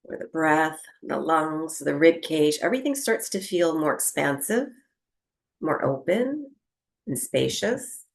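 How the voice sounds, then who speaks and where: A woman leads a guided breathwork session at a very slow pace. Her voice sits a little low, with a strong creak in it. She gives gentle instructions and leaves long pauses between them. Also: very animated. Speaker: yoga and breathwork teacher.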